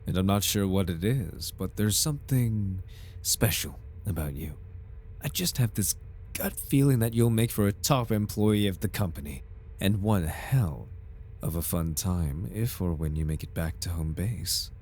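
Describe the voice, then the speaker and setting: A man playing a smooth-talking, flirtatious and faintly sinister corporate advisor in a formal, theatrical ASMR roleplay. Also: low-pitched, animated, unhurried, a little mellow, slight background noise. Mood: flirtatious, coaxing. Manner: theatrical, formal, silky salesman politeness. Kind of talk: ASMR roleplay, scripted in-character monologue.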